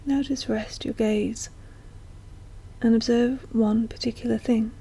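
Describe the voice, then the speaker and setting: A woman guiding a meditation in a soft, breathy, often near-whispered voice, speaking gently and slowly with long, soothing pauses. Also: on the high side, steady tone. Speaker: guided meditation teacher. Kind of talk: slow guided meditation narration.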